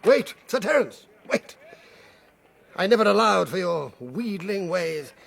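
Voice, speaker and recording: A woman playing an excitable, grudging moneylender with a raspy, creaky voice in a period radio drama. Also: medium pitch, measured pace, heavily acted. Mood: grudging surrender. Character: excitable, grudging moneylender haggling over debts.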